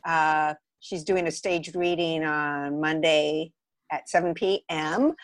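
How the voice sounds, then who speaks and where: A woman's voice: low voice, steady tone, takes it slowly, no room sound, clean studio sound. A woman from the Los Angeles area chatting casually as a podcast guest. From Los Angeles area, United States. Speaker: guest, theatre writer and director. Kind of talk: casual chat.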